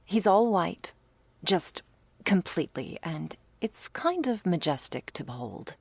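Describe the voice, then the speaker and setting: Girl doing a vampire girlfriend asmr thing, low n slow, teasing and doting, kinda old fashioned, super dry close sound. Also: sing-song, a little mellow, no echo, full character voice. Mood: fond, wry. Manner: breathy asides, pet names, quaint turns of phrase. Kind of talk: intimate ASMR roleplay.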